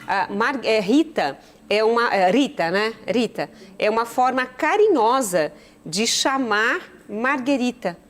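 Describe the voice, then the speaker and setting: A woman's voice: on the high side, animated, measured pace. Brazilian woman hosting a conversational TV show. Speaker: TV show host.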